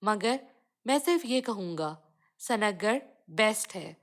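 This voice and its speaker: A woman voicing a moralizing village constable in a children's cartoon story, speaking in a measured, preachy manner. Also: mid-range voice, lively intonation. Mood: advising.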